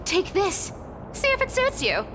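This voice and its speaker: A woman's voice: very high voice, wildly swooping pitch, measured pace, background noise, exaggerated voice. A woman voicing a playful, teasing young female treasure thief in a video game, greedy, bossy and theatrical. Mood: excited, bossy. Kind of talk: animated video game voice acting.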